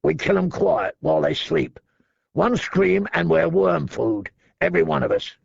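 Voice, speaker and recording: A man's voice: fairly high pitch, very animated, measured pace, vocal fry, breathy edge, no room sound, muffled recording, pitched above the performer's own voice, full character voice. A man voicing a bitter, resentful kennel hand in an audiobook, snarling and sour. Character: bitter, boil-faced male kennel hand.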